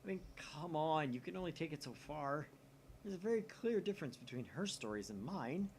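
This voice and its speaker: A man's voice: a little high, expressive, a little fast, darkish tone, slight background noise. A young man hosting a gaming video, reading visual novel narration aloud with wry asides. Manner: wry, reads narration with commentary. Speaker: let's-play host.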